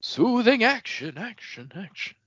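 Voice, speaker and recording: A man's voice: sing-song, unhurried, fairly bright tone, breathy edge, dry recording, highs cut off. A man co-hosting a podcast, bantering in a playful, jokey way. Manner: playful, jokey, swings in pitch. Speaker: podcast co-host.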